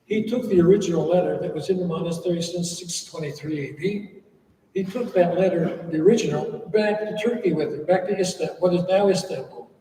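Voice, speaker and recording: A man's voice: high voice, brisk, dark tone, a little hiss or hum. An older man, a monk, giving an informal talk to an audience and telling stories with humorous asides. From United States. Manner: chatty, storytelling with humorous asides. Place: church hall. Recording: echoey hall, voice from far off.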